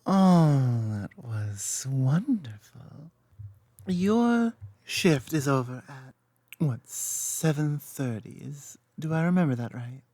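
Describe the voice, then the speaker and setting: A woman playing an affectionate, teasing lamia girlfriend in an intimate ASMR roleplay, speaking softly and confidently with playful asides. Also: deep voice, lively intonation, takes it slowly, dry recording, full character voice. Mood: affectionate, lighthearted.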